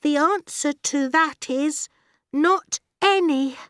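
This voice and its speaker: Woman acting a small schoolgirl, storybook character, very high voice, earnest, shy, polite, precise. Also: normal speed, no echo, clean studio sound, natural voice. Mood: careful reciting. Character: bright, earnest, shy five-year-old schoolgirl.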